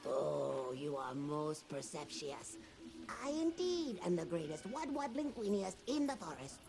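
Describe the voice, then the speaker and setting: A woman's voice: low-pitched, sing-song, unhurried, vocal fry, exaggerated voice. A woman voicing a small, proud forest creature in a video game, a quaint trader with pompous, broken, theatrical speech. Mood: self-important. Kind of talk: video game character dialogue. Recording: game audio with loud background ambience.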